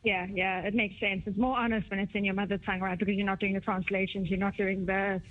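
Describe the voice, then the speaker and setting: A woman's voice: mid-range voice, flat delivery, quick pace. Woman caller on a tinny phone line. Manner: earnest, thinking aloud with loose restarts. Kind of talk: caller asking a question.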